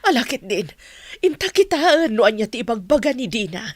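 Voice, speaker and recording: Woman acting concerned mother, animated higher voice. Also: a little fast, dry recording. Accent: Filipino. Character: concerned, firm mother of a bride-to-be. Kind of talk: audio drama acting.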